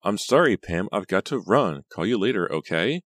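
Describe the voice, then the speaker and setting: A male narrator plays a cruel teenage girl in an audiobook. The voice is lifted above a man's low register and sounds smug and sarcastic. The words come out toying and mock-innocent, with a dry, close sound. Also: lively intonation, normal speed, fairly bright tone, no echo. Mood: smug, breezy. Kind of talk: audiobook character dialogue.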